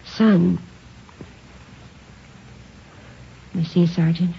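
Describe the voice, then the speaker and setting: Low, creaky-voiced worried mother. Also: fairly even intonation, unhurried. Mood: gentle, coaxing. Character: worried, gentle mother of a young boy. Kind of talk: 1950s radio drama acting. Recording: dull, noisy old radio recording.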